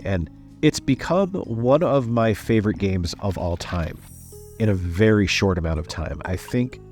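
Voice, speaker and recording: Man, congested, enthusiastic game review voice-over, low voice, soft music behind. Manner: enthusiastic, gushing praise. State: congested with a sinus infection. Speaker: game channel host.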